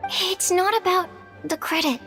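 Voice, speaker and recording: A woman's voice: extremely high pitch, fairly even intonation, unhurried, a bit bright, heavily acted. A woman voicing a shy, earnest young female alchemist in a video game, modest and bashful, precise and technical in her phrasing. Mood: shy, evasive. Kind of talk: video game character acting. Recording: studio voice over game music.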